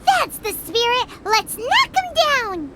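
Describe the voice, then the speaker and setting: A woman voicing a small, excitable, childlike fairy companion who talks perkily in a video game. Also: very high voice, slow, a little hiss or hum, exaggerated voice. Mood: excited. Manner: speaks of herself in third person, perky.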